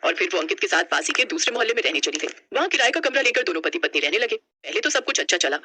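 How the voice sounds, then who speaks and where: A woman narrates a scripted story as a storyteller. Her voice is very bright and forceful, with a lively, expressive melody. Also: medium pitch, very fast, husky.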